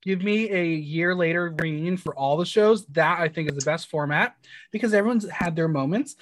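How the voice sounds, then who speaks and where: A man hosting a podcast, chatting in an upbeat, quick way and reading plugs. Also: on the high side. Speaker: podcast host. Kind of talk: casual podcast chat.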